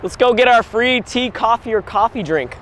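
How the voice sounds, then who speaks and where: A young man vlogging casually to camera from his car and a roadside stop, chatty and excitable, with quick exclamations. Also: very high-pitched. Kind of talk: casual vlog talk to camera. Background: background music.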